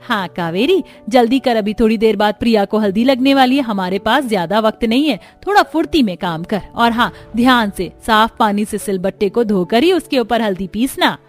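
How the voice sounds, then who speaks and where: A woman's voice: fairly high pitch, lively intonation, normal speed, few pauses, soft background music, natural voice. A woman voicing a bossy older village aunt-in-law who gives household orders in a matter-of-fact way in a cartoon story. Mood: brisk, commanding. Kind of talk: Hindi cartoon story dialogue.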